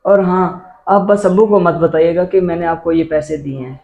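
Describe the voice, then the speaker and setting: A man's voice: on the high side, fairly even intonation, gravelly, darkish tone. A young man playing a caring, principled son and nephew in an amateur moral drama, earnest and reasoning. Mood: reassuring. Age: young adult. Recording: echoey room, dull, faint noise.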